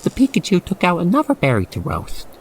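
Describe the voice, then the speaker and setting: A man guiding the listener through an ASMR roleplay in expressive, theatrical, wordy storytelling narration. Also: high voice, quick pace, a little hiss or hum.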